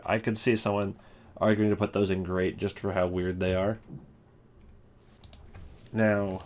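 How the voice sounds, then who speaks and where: A man's voice: fairly low pitch, darkish tone, a little hiss or hum. A man giving casual, off-the-cuff commentary as the host of a ranking video.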